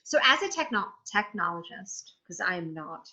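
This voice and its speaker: A woman in her forties hosting a casual conversation, talking in an animated, frank way, swearing freely and often restarting her sentences. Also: fairly low pitch, normal speed. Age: 40s. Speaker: host.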